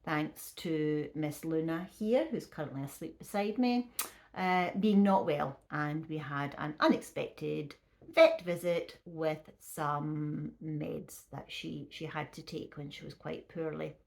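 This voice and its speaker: A woman, chatting casually to camera as a vlogger, her voice a little croaky and her talk full of restarts and ums. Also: deep voice, takes it slowly, darkish tone. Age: 50s. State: slightly croaky voice.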